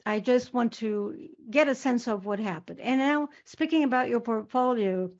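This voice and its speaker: A woman interpreting live on a corporate earnings call in a halting way, repeating and rephrasing words. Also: a little high, a little mellow. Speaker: conference interpreter. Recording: dull, lo-fi conference call audio.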